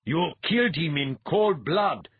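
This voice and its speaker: A man's voice: medium pitch, animated, moderate pace, husky, dry recording, phone-quality sound, voice pushed up. A man voicing a self-righteous, indignant young priest, pompous and accusing, in an audiobook story.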